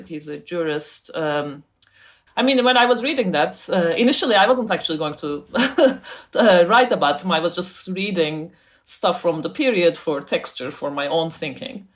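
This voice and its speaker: A woman's voice: fairly low pitch, animated, normal speed. A woman from Turkey, an author and scholar, talking casually as an interview guest, thoughtful, laughing often and restarting her sentences.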